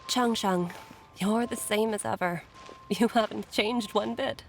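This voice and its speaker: A woman's voice: mid-range voice, measured pace, gravelly, a little hiss or hum. A woman voicing a mature, sincere and remorseful poison-maker in a video game. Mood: reflective, probing.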